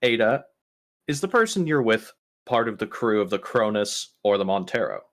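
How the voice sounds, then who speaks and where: A man playing an impatient, blunt spaceship captain in a tabletop game, giving exasperated orders with hesitant pauses. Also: on the low side, big pitch swings. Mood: demanding.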